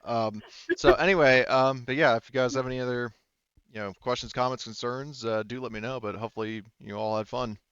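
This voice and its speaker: Smooth talking guy running a tabletop game, swears a lot, chatting casually, bit muffled dry mic. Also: medium pitch, fairly even intonation, a little fast, a bit bright, no echo. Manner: informal, freely swearing. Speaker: game master. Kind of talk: casual post-session tabletop game chat.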